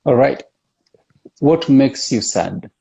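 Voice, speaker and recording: A man interviewing someone in a casual, conversational way, with hesitant, unhurried phrasing. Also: mid-range voice, expressive, creaky, mellow, breathy edge. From East and West Africa. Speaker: interviewer, host.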